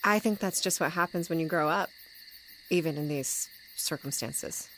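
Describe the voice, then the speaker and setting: A woman's voice: low voice, moderate pace, a bit bright, a little hiss or hum, rough recording. A woman playing a serious, guarded young friend with dry humour in an audio drama. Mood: dry, weary. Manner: understated, guarded. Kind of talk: naturalistic audio drama acting.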